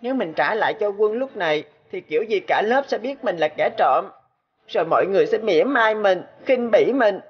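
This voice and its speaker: A woman's voice: medium pitch, animated, fairly quick, slightly rough, lo-fi sound. A woman voicing an anxious schoolboy in a cartoon, earnest and childlike. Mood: guilty, fearful. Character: anxious schoolboys in a moral tale. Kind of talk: cartoon dubbing. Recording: loud guitar music behind.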